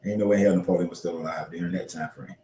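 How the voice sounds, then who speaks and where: A man's voice: deep voice, fairly even intonation, rapid-fire, mellow, a little hiss or hum. A man hosting a casual history discussion, talking loosely with some swearing and correcting himself as he goes. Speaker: show host. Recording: roomy echo.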